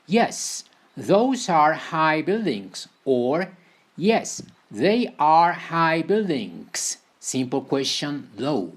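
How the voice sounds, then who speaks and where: Very slow-paced man reading lesson phrases. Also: fairly high pitch. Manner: deliberate, repetitive phrase-by-phrase delivery. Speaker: English teacher. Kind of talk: scripted beginner language lesson, reading example sentences.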